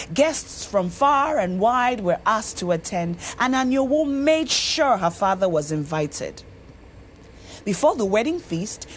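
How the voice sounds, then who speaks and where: A woman reading a scripted story as an expressive narrator, deliberate and dramatic. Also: medium pitch, slight background noise. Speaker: story reader. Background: music under the voice.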